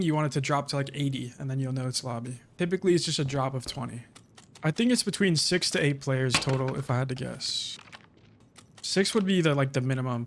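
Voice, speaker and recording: A man giving casual, calm commentary while streaming a shooter game, with a low-key delivery that shows little emotion. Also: slight background noise.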